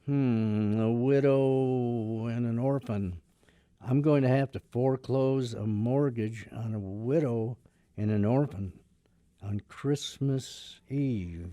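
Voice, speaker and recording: A man acts out a reserved debt collector with an uneasy conscience in a radio play. He speaks slowly and politely in a mellow, close voice, terse and hesitant. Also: medium pitch, no room sound, natural voice. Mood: glum, dry. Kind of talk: radio drama acting.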